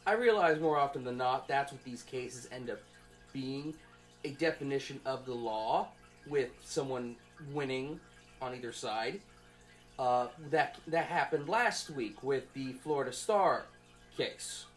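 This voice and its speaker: A young man giving an informal student presentation from notes, in a halting, conversational way. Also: mid-range voice. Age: young adult.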